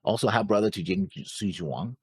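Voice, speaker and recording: A man co-hosting a casual reaction show, reading comments aloud haltingly and stumbling over names. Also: lowish voice, lively intonation, darkish tone, breathy edge, dry recording.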